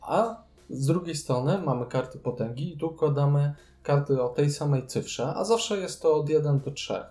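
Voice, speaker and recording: A man's voice: a little high, moderate pace, few pauses, warm tone, reverberant. A man co-hosting a board game podcast, chatting casually and adding his own comments. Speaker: podcast co-host.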